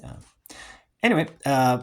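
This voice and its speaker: A man's voice: medium pitch, wildly swooping pitch, a little slow, vocal fry, a bit bright. A man giving a casual, unscripted review in a rambling, hesitant way, as the host of a collecting video.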